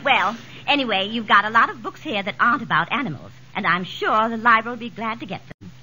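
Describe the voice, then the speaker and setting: An actress playing a catty, gushing society gossip in a 1940s radio comedy, chatty and theatrical. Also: female voice, a little high, quick pace, dull audio, close to an everyday voice. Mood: coy, insistent. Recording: hissy vintage 1940s radio recording.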